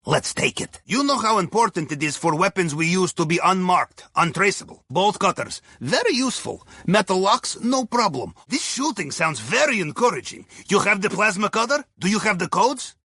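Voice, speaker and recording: An actor playing a wry, courteous Russian submarine pilot, advising on missions in a video game with a Russian accent and clipped grammar. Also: male voice, high voice, big pitch swings, moderate pace, hardly any pauses, sharp tone, husky, dry recording, clean studio sound, full character voice. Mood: focused, advising. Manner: wry, deferential, clipped grammar with dropped articles. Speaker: mission handler on comms. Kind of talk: scripted video game dialogue.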